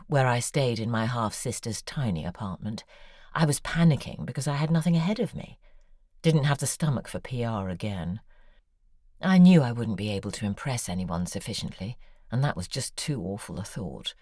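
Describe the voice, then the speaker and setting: A cool, probing novelist in an audio drama, her voice sitting unusually deep while it rises and falls freely. She talks in a measured, wry way, recorded close and dry with no room around her. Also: dark tone, no echo, exaggerated voice. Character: poised older woman novelist, cool and probing. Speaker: author character.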